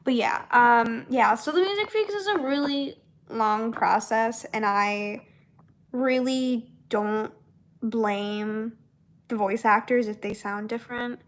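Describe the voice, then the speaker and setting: A young woman with a fairly high voice talks very slowly in an informal, unscripted chat. She wanders through her thoughts haltingly, repeating words and letting sentences trail off. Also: slight background noise. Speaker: voice actor and comic dub creator chatting with viewers. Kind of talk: casual unscripted discussion.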